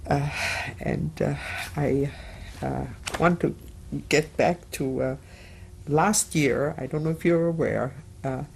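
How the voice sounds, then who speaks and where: An older woman recounting her memories in an interview, her words coming slowly and haltingly. Her voice carries a gravelly, creaky texture, with frequent pauses as she searches for words. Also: low voice, darkish tone, slight background noise. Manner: hesitant, many filler pauses; slow, measured. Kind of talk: oral history testimony.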